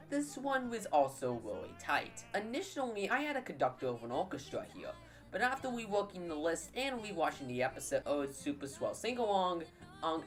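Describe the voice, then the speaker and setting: A woman hosting a casual countdown video, often repeating words and restarting her sentences, with music playing behind her. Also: deep voice. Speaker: YouTube list-video host.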